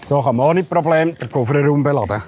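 Schweizer Mann mit Schweizerdeutschem Akzent. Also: male voice, on the high side, quick pace, over music. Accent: Swiss German. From Switzerland. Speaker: ice hockey referee. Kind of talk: sponsored video voice-over and interview.

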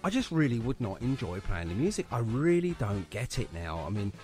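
Animated male radio interviewer. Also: medium pitch, a little fast, soft background music. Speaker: radio show host and mobile DJ.

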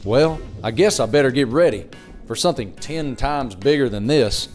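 A man's voice: medium pitch, lively intonation, moderate pace. A man narrating a fishing show in voice-over, playful and self-mocking, with music behind him. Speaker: host narrator.

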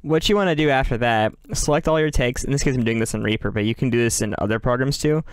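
Guy walking through a software tutorial, laid-back wording, medium pitch, dry close mic. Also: fast, clean tone, no echo. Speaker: tutorial host. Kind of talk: casual software tutorial narration.

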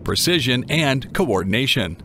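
A man narrates a documentary script at a middle pitch, in a measured, even reading with occasional odd word choices. Clear background music runs under his voice the whole time.

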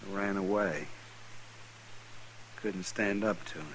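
An American actor playing an ashamed, self-pitying failed homesteader with a drinking problem in a 1950s radio western. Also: male voice, fairly low pitch, fairly bright tone, low-quality recording. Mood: defeated, ashamed. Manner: halting, self-pitying, stammering. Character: ashamed, broken-down alcoholic failed homesteader on the frontier. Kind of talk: 1950s radio drama acting. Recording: hissy 1950s radio recording.

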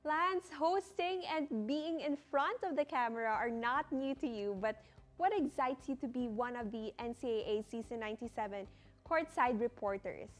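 High-voiced cheerful woman TV host. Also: moderate pace. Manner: upbeat, cheerful presenter delivery. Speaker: morning show host. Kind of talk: bright morning TV interview, mixing English and Filipino. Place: TV studio set.

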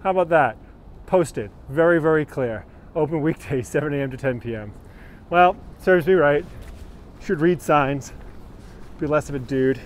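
A man's voice: on the high side, a little mellow. A man in his thirties chatting his way through a walking tour, greeting viewers by name as he walks outdoors and films on a phone held at arm's length. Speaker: tour guide. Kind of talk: live-streamed walking tour. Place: outdoors on a city street. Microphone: phone held at arm's length while walking. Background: street traffic.

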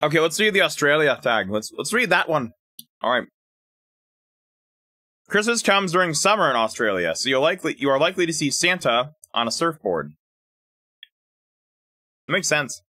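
A man streaming live, chatting casually with viewers, animated, laughing often and stumbling as he reads things aloud. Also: a little high, moderate pace, pauses often, dry recording, studio quality.

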